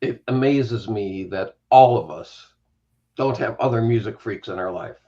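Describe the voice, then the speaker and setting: A man in his fifties musing his way through a relaxed group chat. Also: lowish voice, measured pace, muffled recording. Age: 50s.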